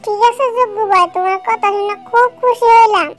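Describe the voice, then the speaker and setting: Loud, squeaky animal pal Lalu voiced by a woman. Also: brisk, faint music behind. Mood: cheerful. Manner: eager, loud, familiar. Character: eager, friendly male animal named Lalu. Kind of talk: fairy-tale cartoon dialogue.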